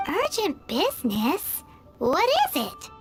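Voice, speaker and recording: An extremely high, childlike little fairy from a video game. The delivery swoops up and down, chirpy and cheeky. Loud tinkling music plays behind the voice. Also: female voice, slow pace, heavily acted. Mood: curious. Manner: refers to self in third person, animated. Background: loud tinkly children's-style music.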